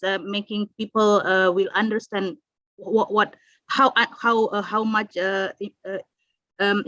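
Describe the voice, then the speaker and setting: A woman's voice: fairly high pitch, a bit bright, dry recording. A woman from Indonesia moderating an online conference, hesitant, with many fillers and restarts. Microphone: video call. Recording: dull video call audio.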